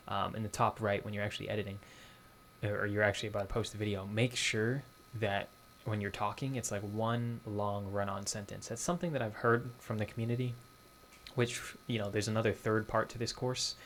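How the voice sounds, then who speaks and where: A man's voice: fairly low pitch, fairly bright tone, a little hiss or hum. A man from Boston teaching an online course in a casual, conversational way, repeating his key points. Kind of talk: casual spoken lesson.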